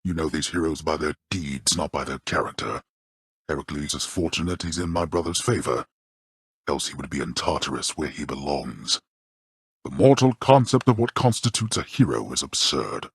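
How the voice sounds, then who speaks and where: Deep husky underworld god for a game, haughty stern dad, swings from low mutter to booming. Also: male voice, no echo, studio quality, exaggerated voice. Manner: haughty, formal archaic diction, disdainful. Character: stern, scornful, imperious male god ruling the underworld, an overbearing father. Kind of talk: scripted video game character lines.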